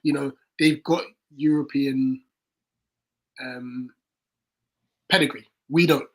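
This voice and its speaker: A man's voice: medium pitch, fairly even intonation, slow pace, fairly bright tone. A man co-hosting a casual football fan watchalong, chatting about club news, reacting to the play and reading out viewer comments. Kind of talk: casual match watchalong chat.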